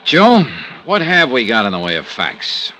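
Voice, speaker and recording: A man's voice: medium pitch, wildly swooping pitch, measured pace, bright, forceful tone, slight background noise, exaggerated voice. An actor playing a wry, hard-boiled male insurance investigator in a 1950s radio drama, talking in a dry, clipped, sardonic way.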